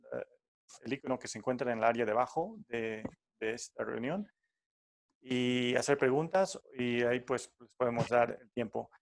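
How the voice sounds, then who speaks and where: A man from Ecuador giving friendly but halting logistics instructions on a video call, with many fillers. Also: medium pitch, vocal fry. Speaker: tech support and co-host.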